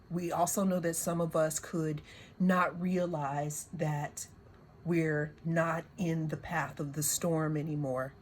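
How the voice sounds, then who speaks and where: Slow hoarse woman reading cards. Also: deep voice, slight background noise. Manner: unhurried, circling phrasing with repeated words. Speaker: tarot and oracle card reader. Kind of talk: slow, reflective spiritual card reading.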